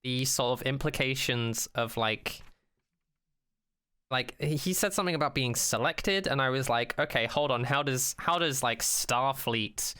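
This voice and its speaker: Male podcast co-host, bright crisp voice, sardonic and opinionated, animated casual delivery. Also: mid-range voice, measured pace.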